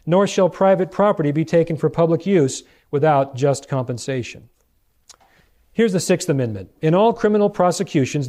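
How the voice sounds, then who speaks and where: American male preacher with a mellow voice. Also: on the high side. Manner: rhetorical questions to the listeners, deliberate emphasis. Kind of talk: sermon lecture with read-out quotations.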